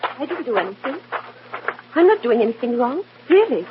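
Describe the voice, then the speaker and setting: An actress playing a timid, grieving young widow, fragile and pleading, in mid-century radio drama style. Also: female voice, a little high, very animated, moderate pace. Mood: defensive, pleading. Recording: muffled, noisy old radio recording.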